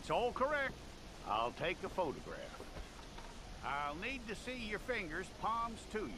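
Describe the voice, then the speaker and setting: A man acting a gruff, grudging frontier lawman with an American accent in a video game cutscene, bossy and folksy. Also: high voice, big pitch swings, unhurried, background noise. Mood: businesslike, bossy. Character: gruff, grudging Wild West lawman processing prisoners.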